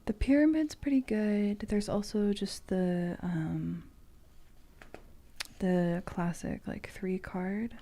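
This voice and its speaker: A woman chatting casually in an ASMR style, with a soft, breathy, often whispered voice and a halting, meandering manner. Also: medium pitch. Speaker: streamer host.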